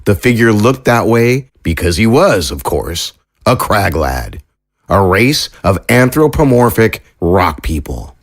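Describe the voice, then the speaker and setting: A man's voice: on the low side, sing-song, slow, no echo, clean studio sound. A man hosting and narrating a scripted fiction podcast.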